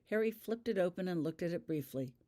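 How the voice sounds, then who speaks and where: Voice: mid-range voice, animated, fairly quick, dark tone. An author reading their own fan fiction as scripted audiobook narration.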